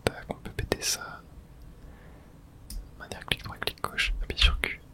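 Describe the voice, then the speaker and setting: A person whispers gameplay commentary for ASMR, speaking close to the microphone. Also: a little slow. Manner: whispering throughout, unvoiced. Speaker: gaming ASMR streamer. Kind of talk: whispered ASMR gameplay commentary, reading game subtitles aloud.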